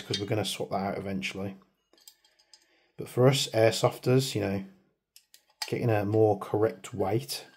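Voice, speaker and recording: Rambling low-voiced man. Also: a little mellow, a little hiss or hum. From United Kingdom. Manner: rambling, chatty, self-deprecating. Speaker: airsoft video maker. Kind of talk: casual hands-on product review.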